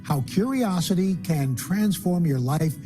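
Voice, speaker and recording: A man hosts a morning TV segment with upbeat, lively intonation. His voice sits a little above the usual pitch, and music plays clearly behind him. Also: a little slow. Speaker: morning TV show host. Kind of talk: broadcast interview.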